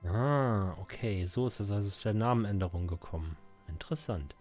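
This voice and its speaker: A man's voice: fairly low pitch, a little fast, a little mellow. A man doing casual game commentary, thinking aloud in short, murmured, musing reactions. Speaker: Let's Play presenter. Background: game music.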